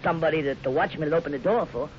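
A man's voice: fairly high pitch, very fast, natural voice. A man playing a crafty, persistent small-town bank robber in a 1950s radio western, talking in slangy villain fashion. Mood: scheming. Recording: muffled, slightly noisy old radio recording.

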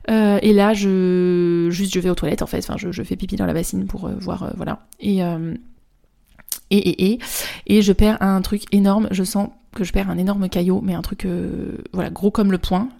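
A woman's voice: medium pitch, steady tone, moderate pace, vocal fry, dark tone. A young woman from France telling a personal story in a casual, rambling way, with slang, fillers and restarts. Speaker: spiritual therapist and vlogger.

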